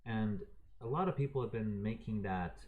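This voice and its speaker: A man's voice: lowish voice, normal speed, phone-quality sound, slight background noise. A man raised in Croatia giving an unscripted, heated and profane opinion rant, talking to his viewers in an exasperated way. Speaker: video ranter.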